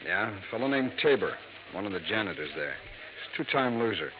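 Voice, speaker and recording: Casting: pragmatic American police lieutenant, deepish and unhurried, weary, old radio sound with heavy noise. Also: male voice, very animated. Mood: weary, matter-of-fact. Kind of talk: 1950s radio drama acting. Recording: muffled, noisy vintage radio recording.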